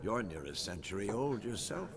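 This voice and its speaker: An actor playing a wistful, gruff old monster hunter mentor with a gravelly voice in a video game. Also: male voice, on the low side, big pitch swings, normal speed. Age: older.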